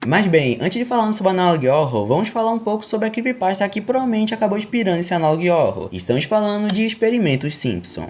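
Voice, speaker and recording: A man's voice: sits high, big pitch swings, fast, few pauses. A man with a Brazilian Portuguese accent narrating a scripted story for an online video, with informal, joking asides.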